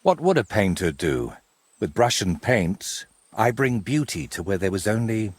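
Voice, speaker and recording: Husky middle-aged male painter for a medieval game: courteous, wry, florid, very animated intonation. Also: fairly low pitch, unhurried, dry recording, exaggerated voice. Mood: proud, musing. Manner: theatrical, wordy, courteous. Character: wry, wordy ageing medieval painter, robbed but polite. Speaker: video game character. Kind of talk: game cutscene dialogue.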